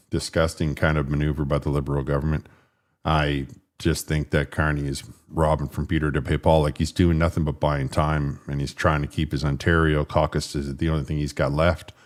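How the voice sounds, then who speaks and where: A man with a deep, rumbling voice hosting a casual livestream, rambling and frustrated as he fixes his stream settings. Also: darkish tone. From Canada. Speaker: political livestream host.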